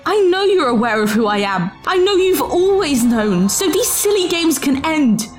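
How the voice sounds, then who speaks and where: A woman's voice: high voice, sing-song, normal speed, faint music behind. A woman playing a proud, distrustful time traveller in an audio drama, formal, pointed and challenging. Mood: angry.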